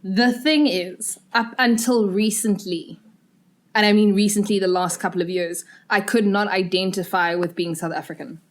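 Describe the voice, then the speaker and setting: A young woman from Zimbabwe hosting a podcast interview, talking in a relaxed, conversational way with personal asides. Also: mid-range voice, moderate pace. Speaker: podcast host.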